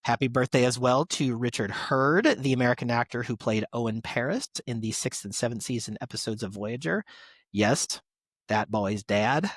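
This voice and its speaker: A man's voice: medium pitch, no echo. A man hosting a podcast, reading prepared tributes in an announcer-like way with an enthusiastic fan's touch. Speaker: podcast host. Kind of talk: reading birthday tributes to actors, semi-scripted podcast segment.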